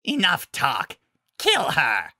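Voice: medium pitch, wildly swooping pitch, slow, bright, forceful tone, no room sound, studio quality, voice pushed up, full character voice. A narrator voicing a fierce, proud male werewolf pack alpha in a fantasy story, with a barking, menacing, blustering manner. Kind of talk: audiobook-style fantasy story character.

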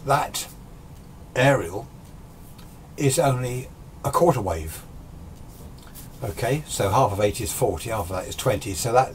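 A British man in his sixties giving an informal technical explanation in a casual, rambling, self-deprecating way, as a hobbyist presenter. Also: lowish voice. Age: 60s. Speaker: amateur radio hobbyist presenter. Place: small indoor home radio workshop. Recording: steady background noise.

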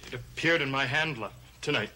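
A man's voice: mid-range voice, fairly quick, sharp tone, poor audio. Troubled, hesitant young man. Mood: anxious. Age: young adult. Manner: hesitant, brooding. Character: troubled, haunted young grandson with secrets. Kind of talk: 1960s gothic TV soap acting. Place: TV studio set of an old house.

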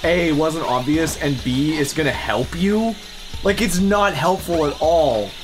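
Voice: mid-range voice, unhurried. A young adult giving casual gameplay commentary as co-host of a let's play. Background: video game music.

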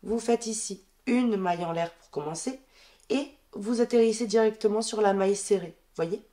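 A woman with a stuffy head cold talks through a crochet pattern in a calm, even voice. She counts her stitches aloud as she works and keeps every step plain. Her voice sits at an ordinary middle pitch for a woman. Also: moderate pace. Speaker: crochet tutorial host. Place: indoors at a wooden table.

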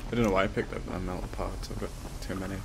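A man's voice: deep voice, fairly even intonation, rapid-fire. A man chatting casually while he plays a co-op video game. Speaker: gamer playing co-op in a walkthrough video. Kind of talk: casual gameplay chat. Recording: loud game noise behind voice. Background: video game sound effects.

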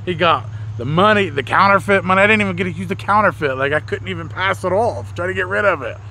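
Bright, higher-voiced American man telling stories. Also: fast talker, slight background noise. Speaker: mobile mechanic and video host. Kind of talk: casual storytelling to camera. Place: outdoors in a leafy backyard.

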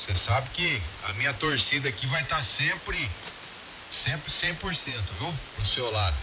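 A man's voice: mid-range voice, expressive, measured pace, distant mic. A Brazilian man chatting casually as an amateur radio operator. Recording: dull radio audio with clear hiss.

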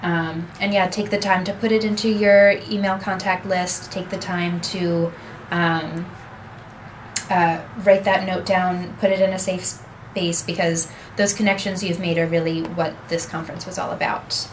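A woman's voice: fairly low pitch, little pitch movement, unhurried, slight background noise, poor audio. A young adult woman presenting a workshop in a small office conference room, explaining steadily and gesturing with her hands, picked up by a camera across the room. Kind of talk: seminar talk.

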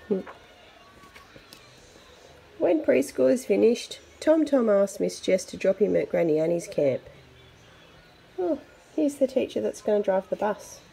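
Gravelly-edged woman reading a children's picture book. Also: mid-range voice, a little hiss or hum, poor audio. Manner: expressive, with chatty asides to young listeners. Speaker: storybook reader for children.

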